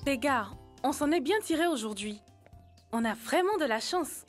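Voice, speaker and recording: A woman voices an earnest young girl from a children's cartoon. Her voice is very high and sensible in manner, over light background music. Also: lively intonation, moderate pace. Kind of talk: educational cartoon dub.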